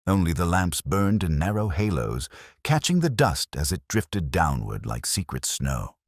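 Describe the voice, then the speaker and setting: A man with an extremely low voice narrates a mystery in the first person. His delivery is measured and brooding with a literary turn, and his pitch moves expressively. The sound is dry, with no room on it. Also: sing-song, dry recording.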